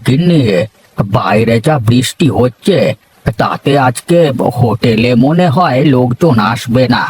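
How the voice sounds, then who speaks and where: A man voicing a worried older village father in a cartoon, with a slightly husky voice and a fretful, fatherly way of talking. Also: medium pitch, lively intonation, measured pace, darkish tone. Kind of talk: cartoon voice acting.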